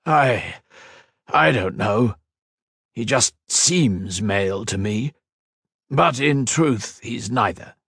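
A man's voice: fairly low pitch, lively intonation, slow pace, pauses often, a bit bright, breathy edge, dry recording, studio quality, full character voice. A man voicing an anguished male scientist who pleads for his creation in a strained voice. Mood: pleading. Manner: pleading, strained, rising to outbursts.

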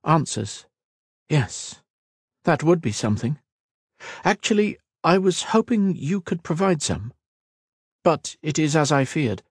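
A man voicing a gentle, calm elf woman in a fantasy audiobook, speaking softly in formal, deliberate phrases. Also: a little high, breathy edge, dry recording, studio quality, voice pushed up, exaggerated voice. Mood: musing. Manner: soft-spoken, deliberate, formal.

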